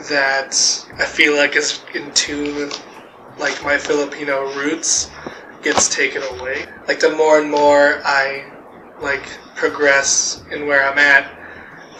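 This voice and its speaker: A man's voice: a little high, sharp tone. A young man speaking thoughtfully and hesitantly, with many fillers, in a personal interview. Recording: echoey, noisy room.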